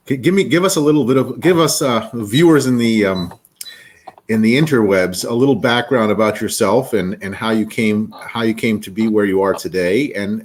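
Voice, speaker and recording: A man hosting an interview podcast, introducing his guest in a rambling way with frequent restarts. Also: lowish voice. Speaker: podcast host, attorney.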